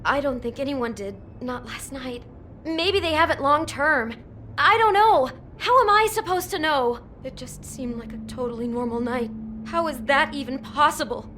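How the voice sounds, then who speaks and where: A woman playing a shaken, sharp-tongued young hotel desk worker in a video game, anxious, questioning and blunt. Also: high voice, moderate pace, a little hiss or hum. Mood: flustered.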